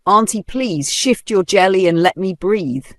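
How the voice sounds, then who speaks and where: A storyteller voicing a haughty, vicious rich woman of thirty who snaps and shouts insults. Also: medium pitch, lively intonation, a little slow, no echo, voice pushed up, close to an everyday voice. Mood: dismissive.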